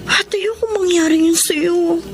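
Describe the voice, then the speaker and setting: A woman's voice: very high voice, unhurried. An actress playing an earnest, emotional young woman in a Filipino radio drama, with heartfelt, respectful delivery. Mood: earnest, forgiving. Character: young, earnest Filipino woman, forgiving friend or anxious daughter. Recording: dramatic music behind the voice.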